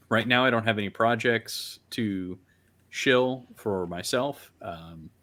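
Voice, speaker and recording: Lowish-voiced man, halting casual talk. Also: fairly bright tone. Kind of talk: casual podcast chat.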